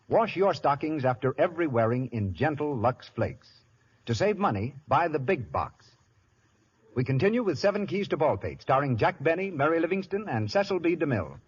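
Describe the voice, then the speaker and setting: A man's voice: mid-range voice, breathy edge. A man working as a 1930s radio announcer, reading scripted narration and advertising copy. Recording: dull old radio broadcast.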